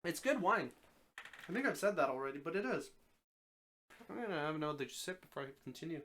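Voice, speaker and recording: A man's voice: a little high, expressive, fairly quick, a bit bright. A man reading a story aloud live in an informal narrating style, occasionally stumbling and rereading.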